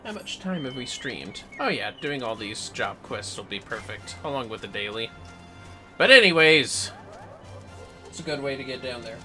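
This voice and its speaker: Male game streamer, bright and forceful, very animated, casual playful swearing, faint music underneath. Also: mid-range voice, normal speed. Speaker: livestreamer. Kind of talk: casual gaming livestream commentary.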